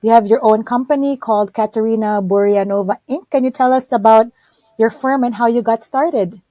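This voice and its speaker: A woman's voice: fairly high pitch, moderate pace, dark tone, dry recording. A woman hosting an interview podcast, asking questions in an encouraging way. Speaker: podcast host.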